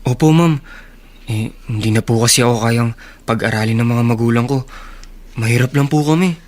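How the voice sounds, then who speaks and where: A man's voice: medium pitch, normal speed, darkish tone, close to an everyday voice. An actor playing a humble, grateful young working man, deferential and earnest, in a Filipino radio drama. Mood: timid. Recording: radio drama with music underneath.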